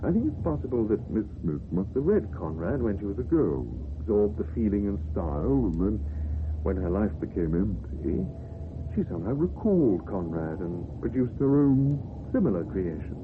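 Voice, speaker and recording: An actor playing an earnest, thoughtful doctor with a husky voice in a radio drama. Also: male voice, on the low side, big pitch swings, moderate pace, noisy background. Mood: reassuring, reflective. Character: earnest, thoughtful male doctor, a married man. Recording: narrow, muffled old radio recording.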